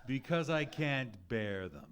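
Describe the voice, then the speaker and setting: An actor playing an exasperated, sleep-deprived, penny-pinching husband in a live radio comedy, blustery, sarcastic and indignant. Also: male voice, mid-range voice, very animated, unhurried, background noise. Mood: weary irritation. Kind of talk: live old-time radio comedy acting before an audience. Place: live theatre stage.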